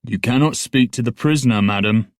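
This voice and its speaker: A man's voice: lowish voice, steady tone, fairly quick, dry recording, studio quality, lightly acted. A man voicing a stern, flustered young castle guard in an audiobook, speaking in firm, formal commands. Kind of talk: audiobook character voice.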